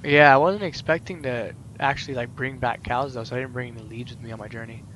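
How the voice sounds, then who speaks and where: A man's voice: medium pitch, fast, background noise. A young man hosting a Minecraft let's play, chatting casually with friends over a voice call in a rambling, filler-heavy way. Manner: rambling, filler-heavy, animated gamer talk.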